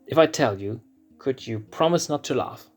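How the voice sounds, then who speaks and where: A man voicing a brash, defensive young male lion cub prince with a blunt, gruff way of speaking. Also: mid-range voice, expressive, moderate pace, faint music behind, voice pushed up, natural voice. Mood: earnest.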